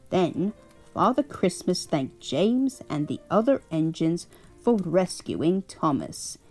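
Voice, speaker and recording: A woman's voice: on the low side, exaggerated voice. A woman voicing a kindly elderly English grandmother who reads a bedtime story aloud and fusses over her grandchild. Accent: put-on English. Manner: doting, chuckling, fussing over a grandchild.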